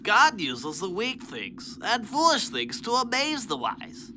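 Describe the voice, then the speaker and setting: Woman playing a bright, expressive warrior angel. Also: fairly high pitch, slow pace, slight background noise, heavily acted. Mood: instructive. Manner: declarative, proclaiming. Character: bright, wise angel, confident heavenly soldier. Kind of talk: children's audio drama.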